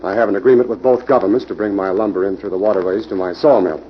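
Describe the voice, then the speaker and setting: An actor playing a self-assured, cooperative logging company owner with an air of authority in an old-time radio drama. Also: male voice, on the low side, fairly even intonation, fast talker, slightly rough. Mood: matter-of-fact. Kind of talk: 1940s radio acting. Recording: muffled, faintly hissy old radio recording.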